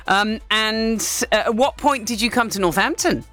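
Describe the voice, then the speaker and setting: A woman's voice: on the high side, moderate pace, a bit bright, dry recording, soft background music. A woman hosting a local radio phone-in, bubbly and chatty. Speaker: radio presenter.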